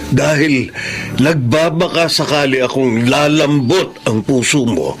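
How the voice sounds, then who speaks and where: A man playing a remorseful older father, earnest and deliberate, in a Tagalog radio drama. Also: medium pitch, a little hiss or hum, lightly acted. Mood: earnest pleading. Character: remorseful older father confessing, pleading with daughter. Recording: loud music bed under the voice. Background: loud dramatic music.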